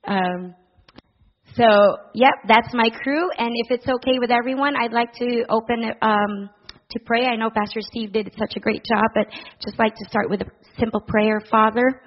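A middle-aged woman from the Philippines, a charity director speaking earnestly to a church congregation, with soft fillers and a warm, personal manner. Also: mid-range voice, breathy edge, dull audio. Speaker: director of a family services charity.

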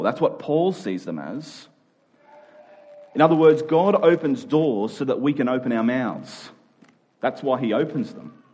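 A man's voice: mid-range voice, a little mellow. A man preaching a conversational sermon in an informal but emphatic way, repeating key phrases to drive them home.

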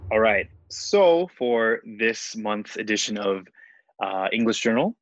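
A man's voice: fairly low pitch, animated, unhurried, no echo, dull audio. A young man chatting casually as a guest, speaking hesitantly with many fillers and frequent laughs. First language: not Japanese. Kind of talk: casual chat.

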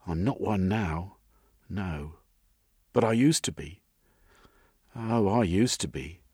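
A man's voice: low-pitched, animated, slow pace, a little mellow, husky, dry recording, clean studio sound, pitched above the performer's own voice, full character voice. A man voicing a shy, uneducated young London woman of eighteen in an audiobook, speaking in simple dialect phrases. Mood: wistful. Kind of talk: audiobook character voice.

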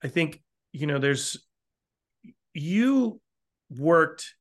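A man's voice: a little high, sing-song, extremely slow. A man from the northeastern United States interviewing a chef, asking long, enthusiastic questions with frequent restarts. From Newport, Rhode Island area of the US. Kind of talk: conversational interview.

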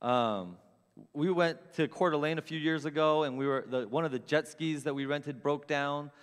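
Guy preaching, kinda high voice, gets loud telling stories on himself, big echoey church. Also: normal speed, smooth. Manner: animated, self-mocking storyteller with big swings in loudness. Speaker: pastor. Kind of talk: storytelling sermon. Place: church sanctuary with long reverb.

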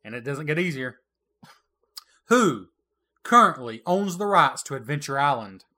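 Bright, lively man hosting a quiz. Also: fairly high pitch, measured pace. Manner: playful, teasing quizmaster, laughs often. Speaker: podcast co-host running a quiz. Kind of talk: casual retro gaming chat.

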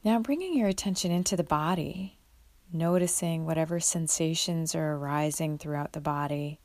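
A woman guiding a mindfulness meditation in a gentle, unhurried way, leaving long pauses between instructions. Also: low voice, dry recording. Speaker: guided meditation leader.

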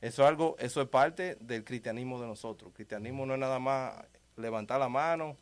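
A man's voice: mid-range voice, a little fast. Emphatic Dominican man talking faith. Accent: Dominican Spanish. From Dominican Republic. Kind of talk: conversational religious talk.

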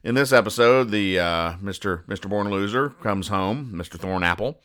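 Bright, clear man, dryly deadpan. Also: on the low side, moderate pace. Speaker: podcast host. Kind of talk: casual comic-strip recap monologue.

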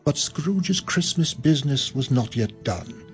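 Man narrator, measured storytelling, radio drama, faint music. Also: mid-range voice, animated. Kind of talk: scripted narration.

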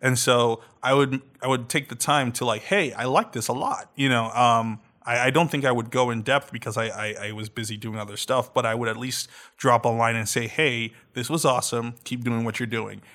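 A man's voice: medium pitch, steady tone, fairly bright tone. A man co-hosts a casual podcast. He talks loosely and keeps stopping to restart his sentences, with plenty of fillers as he works out what he means. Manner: halting, with many restarts and fillers. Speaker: podcast host and audio drama producer.

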